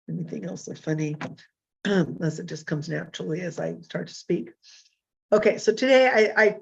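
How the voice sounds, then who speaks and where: A hoarse woman in her fifties hosting a casual solo talk show, rambling and self-deprecating with many fillers and restarts. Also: medium pitch, lo-fi sound. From California. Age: 50s. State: hoarse from illness.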